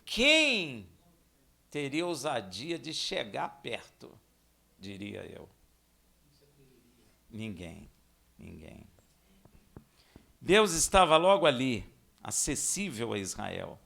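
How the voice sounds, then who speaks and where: A man from Minas Gerais with a Brazilian accent, preaching and teaching scripture in a conversational way, with rhetorical questions, dramatic emphasis and hushed asides. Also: mid-range voice, huge pitch swings, takes it slowly, vocal fry, pauses often. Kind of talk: expository sermon with scripture reading.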